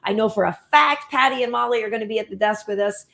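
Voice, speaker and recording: A woman's voice: a little high, fast, creaky, sharp tone. A woman hosting a casual talk show, upbeat and easygoing, laughing often. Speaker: host. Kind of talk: casual chat, show sign-off.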